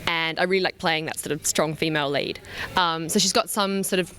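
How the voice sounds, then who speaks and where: A woman's voice: low-pitched, fairly even intonation, fairly quick, fairly bright tone. A woman, a voice actor talking enthusiastically about her role in an interview. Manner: enthusiastic, repeats intensifiers. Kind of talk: casual interview.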